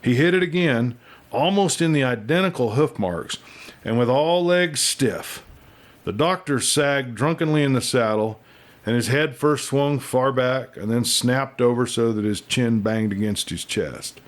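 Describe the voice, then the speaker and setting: A man reading a western novel aloud as an expressive, folksy storyteller. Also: medium pitch, a little slow. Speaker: audiobook reader and storyteller.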